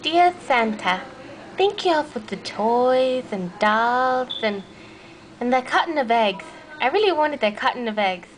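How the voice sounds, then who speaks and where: A woman's voice: on the high side, expressive, a little slow. A woman voicing a plucky, lonely little girl, blunt and curious, rambling hesitantly in an amateur comic puppet show. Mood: pleading, rambling. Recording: noisy homemade camera recording.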